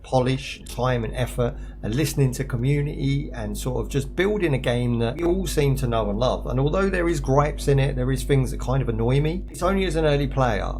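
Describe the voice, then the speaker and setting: A man's voice: medium pitch, darkish tone. A man, chatting casually through a game for his viewers, slangy and rambling, with a voice he says he is losing. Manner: rambling, slangy, laughs often. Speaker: gaming streamer. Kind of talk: casual gameplay commentary to viewers. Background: music.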